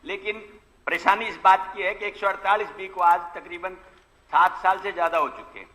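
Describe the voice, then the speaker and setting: A man's voice: high voice, fairly even intonation, normal speed, pushed and bright. A man making a formal, insistent complaint as a legislator addressing an assembly. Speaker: opposition legislator questioning a minister. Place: large legislative assembly hall. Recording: long hall reverb of assembly chamber.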